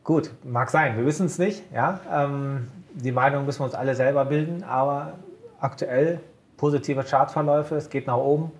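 A man co-hosting a casual market chat, calmly walking through stock charts point by point. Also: mid-range voice, moderate pace. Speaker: co-host of a broker's market show.

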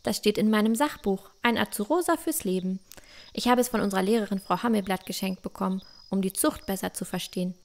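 A woman's voice: medium pitch, fast, darkish tone, slight background noise, natural voice. A woman playing a clever, confident, cheeky village girl with a bookish manner in a fantasy audio drama. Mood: smug, explaining. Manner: self-assured, bookish.

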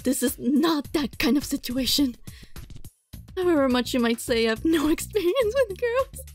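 Girl w a high voice reading a visual novel out loud, kinda dramatic, casual asides, game music behind. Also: normal speed. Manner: expressive, dramatized read-aloud with giggly asides. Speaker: gaming video host.

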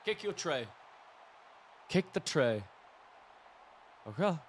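A hoarse young man giving rambling, excitable live commentary over a football video game, mixing coaching tips with side stories. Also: fairly high pitch, slow, a little hiss or hum. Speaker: gaming video creator.

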